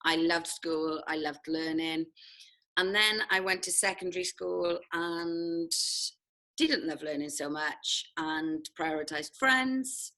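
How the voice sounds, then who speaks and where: A woman's voice: fairly low pitch, bright, forceful tone. A Welsh woman from South Wales, chatting as an interview guest about her school days, laughing often and poking fun at herself. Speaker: head of sixth form, interview guest. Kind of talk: casual interview chat.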